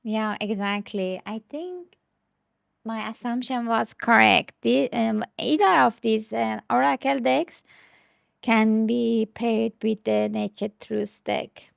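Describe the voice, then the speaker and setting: Slow-talking woman with a higher voice. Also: creaky, no room sound, clean studio sound. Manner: hesitant, thinking aloud. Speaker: tarot deck reviewer. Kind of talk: casual unscripted show-and-tell.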